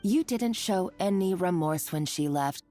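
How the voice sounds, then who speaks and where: A woman's voice: fairly low pitch, expressive, measured pace, warm tone. A woman voicing a scheming, spiteful villain in a video game, who talks in a taunting, condescending and bossy way. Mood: scornful. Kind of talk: game voice acting. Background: faint game music.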